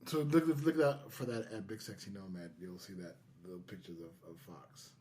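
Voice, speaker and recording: A man's voice: deep voice, big pitch swings, normal speed, mellow. A man hosting a podcast, chatting casually. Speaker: podcast host.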